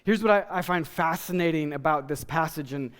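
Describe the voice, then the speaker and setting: A man in his forties preaching a sermon as a pastor, earnest and emphatic with deliberate pauses. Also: a little high, fairly bright tone, smooth. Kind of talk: sermon to a congregation. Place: church stage indoors.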